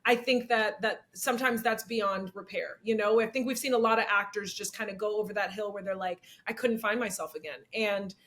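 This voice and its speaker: A young adult woman with a slightly raspy voice, speaking candidly in an interview, animated and laughing often as she acts out what people said. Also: fairly quick, few pauses. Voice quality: slightly raspy, low-set voice. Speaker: actress and comedian, interview guest.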